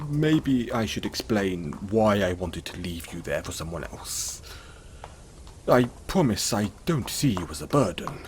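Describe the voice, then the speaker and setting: A man playing a kind, apologetic centaur in an audio roleplay, speaking gently and hesitantly to the listener in a reassuring manner. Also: fairly low pitch, a little hiss or hum. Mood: kindly reassuring.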